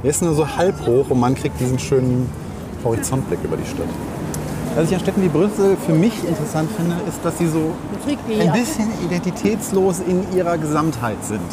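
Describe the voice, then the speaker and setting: A man's voice: a little high, animated, a little fast. A man talking casually as a podcast co-host while walking through a city, opinionated and wordy, often rephrasing himself. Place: outdoors on city streets, walking. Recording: clear outdoor city and traffic noise. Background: street ambience, vehicles.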